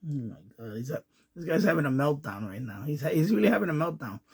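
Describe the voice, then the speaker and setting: Male hobby-radio host, high and husky voice, casual explanatory commentary that circles back and repeats itself. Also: big pitch swings, quick pace. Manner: rambling, repeats himself, explanatory. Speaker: amateur radio video host. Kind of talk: casual running commentary over radio chatter.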